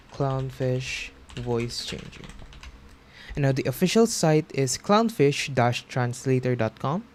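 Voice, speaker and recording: A man's voice: on the high side, a little mellow. A man hosting a tutorial, explaining steps in a casual, instructional way. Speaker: tutorial host. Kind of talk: casual software tutorial narration.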